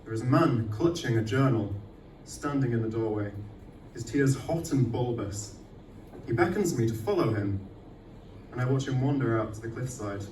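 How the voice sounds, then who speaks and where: A man's voice: medium pitch, lively intonation, normal speed, darkish tone, far from the mic. A male poet at a live poetry reading in an echoey venue, picked up some way from the microphone. His manner is self-deprecating and sweary, and there is faint noise in the recording. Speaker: poet performing own work. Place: indoor arts night venue, live event.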